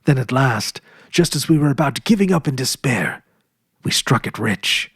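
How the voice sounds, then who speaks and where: A man voicing a hearty grown brother just back from prospecting, telling his tale in a husky, lively voice. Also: mid-range voice, normal speed, no room sound, studio quality.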